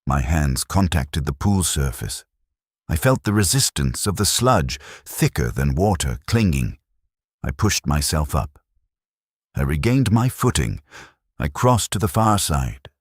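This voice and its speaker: A man narrating a scripted first-person war story in a grave, measured way, in short clipped sentences. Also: very deep voice, slightly rough, no echo, studio quality.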